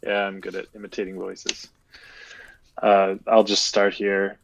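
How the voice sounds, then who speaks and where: A man's voice: low-pitched, completely flat delivery, measured pace, creaky, dull audio. A man chatting casually and joking with a friend while they play a card game on stream. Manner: joking, playful banter.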